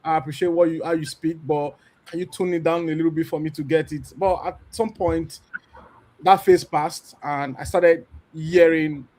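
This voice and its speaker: A Nigerian man in his thirties speaking on a church panel. He talks animatedly and acts out little exchanges as he tells his story. His voice sits a bit high for a man. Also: fairly even intonation, moderate pace, darkish tone. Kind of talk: candid testimony on a church panel.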